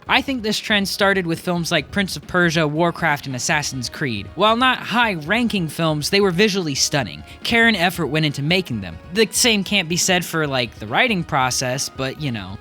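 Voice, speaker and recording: A man's voice: a little high, sharp tone. A young man hosting a video essay in a wry, casual scripted commentary style, with fillers. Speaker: host.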